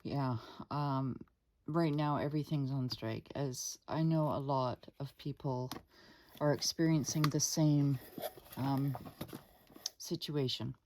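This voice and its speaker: A woman hosting her own art channel, chatting casually at her dining table. She rambles with many fillers and asides to viewers, her voice lower than usual today. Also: steady tone, slow, a little mellow. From Canada. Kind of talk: casual unscripted chat. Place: home dining room table.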